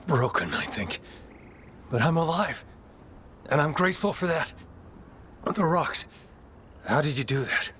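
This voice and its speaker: A man's voice: on the high side, lively intonation, unhurried, pauses often, fairly bright tone, a little hiss or hum. A man playing an injured, grateful survivor in a fantasy video game, sounding pained. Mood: pained, relieved.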